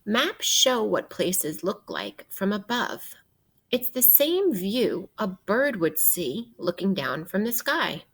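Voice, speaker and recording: Husky woman reading aloud, slow and expressive. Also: mid-range voice. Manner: expressive, guiding with questions and pauses for young listeners. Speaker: teacher reading aloud to children. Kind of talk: interactive read-aloud of a children's nonfiction book.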